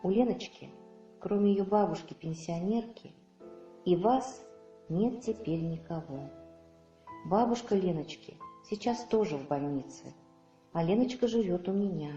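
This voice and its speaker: An actress in a radio play playing a sickly older woman pensioner who reads out her apologetic, pleading letter in a halting, measured way. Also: lowish voice, expressive, dark tone. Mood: sad, pleading. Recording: echoey, distant, muffled, music underneath.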